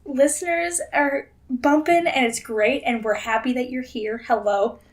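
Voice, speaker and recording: Young woman bantering on a podcast, high pitched, giggly n sweary, sounds a bit far from the mic. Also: a little slow. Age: young adult. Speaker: podcast co-host. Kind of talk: casual comedic banter.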